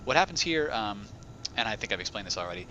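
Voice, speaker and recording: A man's voice: lowish voice, big pitch swings, fast talker, highs cut off, slight background noise. A man casting a strategy game match for beginners, explaining patiently with frequent fillers, his microphone sometimes making his voice sound robotic. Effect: occasional robotic microphone distortion. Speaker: game commentator. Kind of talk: beginner-friendly game commentary. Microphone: home microphone. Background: loud game music and battle sounds.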